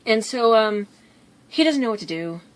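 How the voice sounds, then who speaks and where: A woman's voice: on the high side, animated, normal speed, low-quality recording. A woman vlogger telling stories in a casual, rambling way, easily drifting off track. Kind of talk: casual storytelling vlog.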